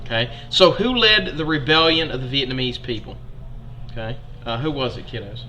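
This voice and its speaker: Brief: folksy Alabama man, young, livestream teacher, bright forward voice, faint background hiss. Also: medium pitch, normal speed, low-quality recording. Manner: chatty, folksy, addresses students as kiddos. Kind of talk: livestreamed history lesson.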